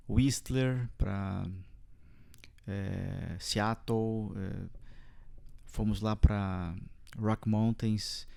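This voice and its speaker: Brazilian male podcast host, smooth and clear voice, conversational. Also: on the low side, a little mellow. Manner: friendly, conversational, shares own stories. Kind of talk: casual interview chat.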